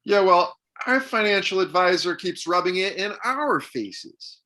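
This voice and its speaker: A man acts out a fed-up retired father reading a comedy script. He is blunt and sarcastic, and the pitch leaps around from phrase to phrase. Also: animated, measured pace, sharp tone, exaggerated voice. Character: exasperated, sarcastic retired father of a grown son. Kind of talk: comedy script table read.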